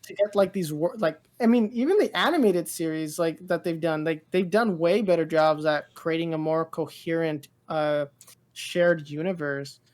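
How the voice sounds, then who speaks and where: A woman's voice: sits low, normal speed, a little mellow. A woman co-hosting a show in casual chat, with informal, slangy talk full of "like" and "dude". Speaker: co-host of a pop culture show.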